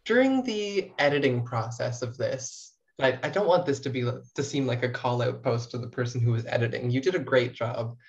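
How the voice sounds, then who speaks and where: A woman's voice: a bass voice, moderate pace, hardly any pauses, a little mellow. Young Canadian woman, dull lo-fi audio. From small town in New Brunswick, Canada. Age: young adult. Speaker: student writer, podcast guest. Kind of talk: casual interview chat.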